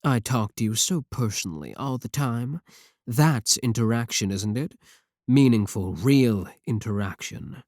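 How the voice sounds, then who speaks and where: A man's voice: mid-range voice, a little mellow, no echo, studio quality. A man playing a clingy, possessive yandere VTuber, pleading defensively and intimately with a single viewer in an ASMR roleplay. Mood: needy insistence.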